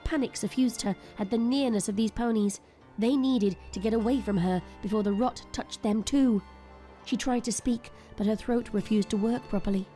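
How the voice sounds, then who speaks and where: A woman's voice: on the high side, moderate pace, warm tone, faint music behind. A woman narrator reading a scripted, dramatic story.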